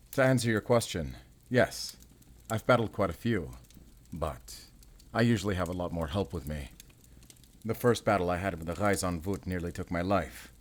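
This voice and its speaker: A man playing a brooding, world-weary werewolf hunter in an audio roleplay, speaking in a measured, solemn, introspective way. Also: sits low. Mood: wry, relaxed. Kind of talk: cinematic audio roleplay acting.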